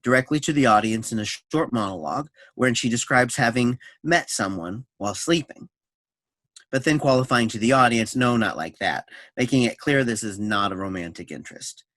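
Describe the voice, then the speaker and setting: Man, mid-pitched voice, scripted narration, stage directions, close dry recording. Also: expressive, moderate pace, a bit bright, no room sound.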